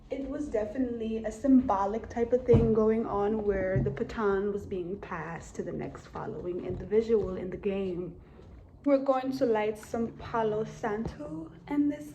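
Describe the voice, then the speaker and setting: A woman improvising a spiritual tarot reading for an audience, speaking in halting phrases and often tagging statements to check the listener is following. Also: on the high side, dark tone, slight background noise, rough recording. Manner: halting phrasing, punctuated with repeated checking tags. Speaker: tarot reader and psychic channeler.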